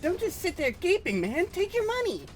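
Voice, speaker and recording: A woman's voice: very high voice, very animated, normal speed. A woman playing a determined, imperious young superheroine in a comic-book drama, with solemn declamatory delivery. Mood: brisk, imperious. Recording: music behind the voice.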